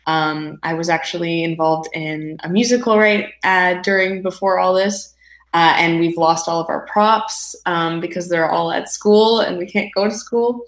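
A woman's voice: lowish voice, moderate pace. A young woman with a Canadian accent chatting casually as an interview guest from her home. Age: young adult. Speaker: guest, performing artist. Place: indoors at home. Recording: roomy, echoing home sound.

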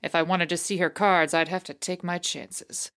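An actress playing a wry, hard-boiled private eye in a radio play, with a dry, sardonic manner. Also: female voice, fairly low pitch, steady tone, normal speed, fairly bright tone, smooth. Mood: wry, world-weary. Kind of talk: radio play noir narration and dialogue.

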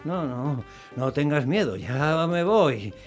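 An actor playing a chirpy, apologetic petty thief in a radio play, drawling and dropping in mock-Italian words. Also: male voice, fairly high pitch, animated, slow, faint music behind. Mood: sheepish, defensive. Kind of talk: radio drama acting.

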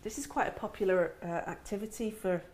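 Woman, middle-aged, mid-pitched voice, chatty interview host, rambling and jokey, casual conversational delivery. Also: a little fast, darkish tone.

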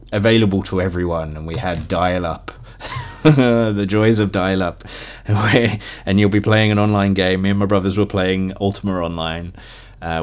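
A British man in his thirties with a fairly low-pitched voice talks casually and off the cuff. He rambles through reminiscences in the relaxed style of a solo podcast host, animated and prone to chuckling.

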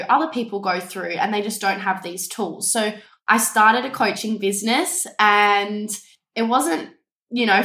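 A woman's voice: medium pitch, fairly bright tone. A young woman who is a business coach, telling her story earnestly and reflectively as an interview guest. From Denmark. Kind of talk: casual interview. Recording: echoey room.

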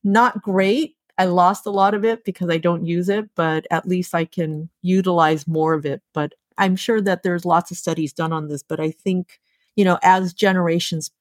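A podcast host whose first language was Japanese, reflecting calmly with frequent "you know" fillers. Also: medium pitch, moderate pace, no echo, studio quality. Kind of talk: casual podcast conversation.

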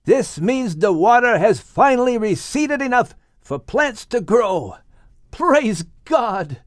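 A man's voice: very high voice, very animated, slow, no echo, clean studio sound, pitched above the performer's own voice, heavily acted. A man voicing a joyful, earnest father figure and patriarch of a family. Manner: exclamatory, earnest.

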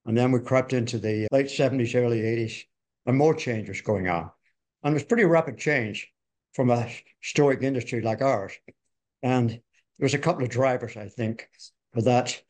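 An older man co-hosting a podcast, slightly hoarse from a cold, reminiscing in a rambling, folksy way with frequent restarts. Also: medium pitch, moderate pace, a little mellow. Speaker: podcast co-host. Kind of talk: conversational industry reminiscence.

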